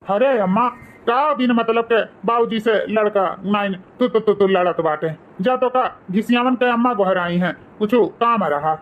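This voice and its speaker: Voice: medium pitch, normal speed, phone-quality sound, lightly acted. A performer voicing a dutiful young village son in a cartoon, with an Awadhi accent and a coaxing manner.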